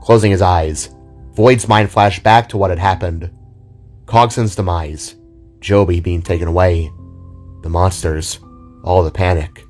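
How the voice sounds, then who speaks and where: A man's voice: low voice, steady tone, a little slow, pauses often, darkish tone. A man reading scripted narration for an audio drama. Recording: music under the voice. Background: music.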